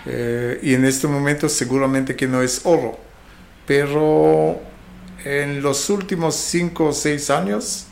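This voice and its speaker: Slow-spoken Israeli-accented man, halting. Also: on the high side, steady tone, vocal fry, darkish tone. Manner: halting, searching for words in a second language. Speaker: interview guest, fruit export marketing specialist. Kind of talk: conversational interview answers.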